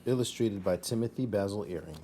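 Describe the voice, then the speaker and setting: A man with a low, soft-edged voice reads a children's story aloud. His delivery is measured and expressive, leaning on dramatic emphasis and hushed asides. There is clear background noise on the recording. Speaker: teacher reading a children's novel aloud.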